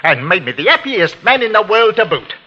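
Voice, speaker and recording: An actor playing an older, falsely jovial and crooked uncle with a London accent and an effusive, wheedling manner, in 1940s radio drama style. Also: male voice, high-pitched, very animated, brisk. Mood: smugly pleased. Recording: muffled, very noisy vintage radio recording.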